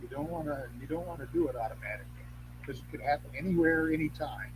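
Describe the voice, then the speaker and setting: An older man talking as an interview guest, telling rambling anecdotes in a folksy, salty way, often halting and repeating phrases. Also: fairly high pitch. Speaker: guest, Craft elder and teacher. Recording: clearly noisy background hum.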